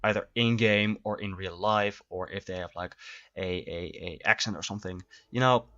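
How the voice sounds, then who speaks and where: Male streamer, low voice, loose chatty delivery, muffled stream audio. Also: normal speed, sharp tone. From Netherlands. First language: Dutch. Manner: chatty, rambling with restarts. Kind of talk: live gameplay commentary.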